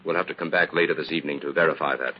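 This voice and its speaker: An actor playing a confident, cool-headed investigator in an old-time radio crime drama. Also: male voice, extremely low pitch, steady tone, rapid-fire. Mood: calm, decisive. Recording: dull, noisy old radio recording.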